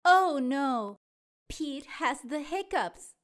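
A woman narrating children's storybooks in an expressive way aimed at young listeners. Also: sits high, drawn-out words. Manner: expressive, child-directed delivery. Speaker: storyteller and show host.

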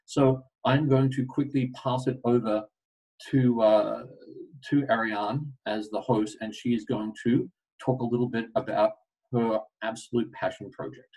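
A middle-aged man giving a webinar presentation on a video call, telling stories with lively asides. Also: mid-range voice, a little slow, husky. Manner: animated storytelling with asides. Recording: distant video call audio.

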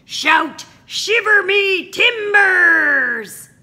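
A woman's voice: extremely high pitch, animated, extremely slow, creaky, pitched above the performer's own voice, exaggerated voice. A woman voicing a bossy male pirate bunny captain who barks orders in a storybook read-aloud. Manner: shouting orders.

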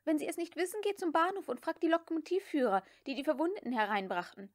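A woman voicing a headstrong, impatient young Southern woman in an audiobook, speaking in a brisk, commanding way. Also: high-pitched, big pitch swings, pitched above the performer's own voice. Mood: angry, urgent.

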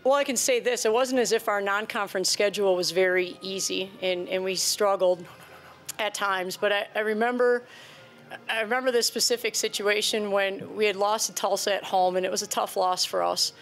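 A woman's voice: mid-range voice, fairly even intonation, moderate pace, faint music behind. Earnest woman coach at a press table. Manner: earnest, conversational, repeats words as she restarts. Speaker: head basketball coach. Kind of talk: postgame press conference answers. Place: press conference room before a sponsor backdrop. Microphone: table microphone.

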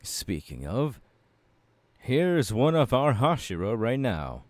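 A man playing a confident, fiery anime swordsman mentor in an ASMR audio roleplay, talking to the listener with measured, dramatic pauses. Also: medium pitch, big pitch swings, dry recording. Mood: welcoming, instructive. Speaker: voice actor.

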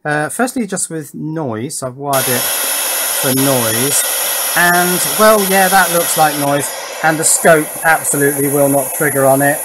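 A man's voice: on the high side, background noise. Musing man explaining electronics. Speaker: presenter of electronics tutorial channel. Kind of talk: unscripted technical explanation. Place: indoors at a workbench.

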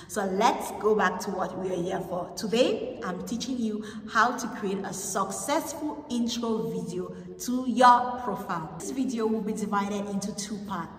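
A young Cameroonian woman explains, in a clear and measured teacherly voice, how to make a teaching intro video. She sits some distance from the camera mic. Her voice rings around a bare classroom, with a long reverb and a faint background hiss. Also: medium pitch, fairly bright tone. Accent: Cameroonian English. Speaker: online English teacher. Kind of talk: instructional video talk. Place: empty classroom indoors. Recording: echoey room from far off.

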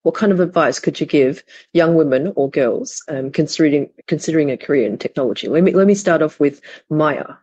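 A woman's voice: low voice, lively intonation, brisk, dark tone, slightly rough, dry recording, lo-fi sound. A woman hosting an online panel on a headset, affirming the speakers and asking questions in a conversational way. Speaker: panel host. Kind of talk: moderating a panel discussion. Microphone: headset on video call.

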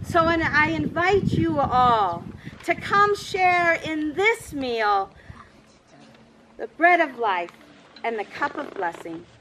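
A woman pastor in her sixties leading an outdoor service, projecting with deliberate phrasing, recorded on a camera from a distance. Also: high-pitched, big pitch swings, background noise. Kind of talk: outdoor church service, communion liturgy and informal asides. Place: open grassy campground, outdoors. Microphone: camera several metres away.

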